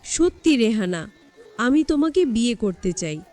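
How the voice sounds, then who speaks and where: A woman's voice: on the high side, sing-song, a little slow, darkish tone, faint music behind. A woman voicing a pushy, flirtatious bachelor of about thirty in a narrated story, coaxing and insistent.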